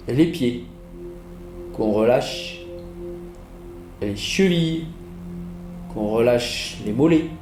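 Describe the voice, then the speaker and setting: A man leading a guided relaxation as a yoga teacher, giving soothing, measured instructions with pauses. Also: medium pitch. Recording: roomy echo with music behind. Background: relaxation music.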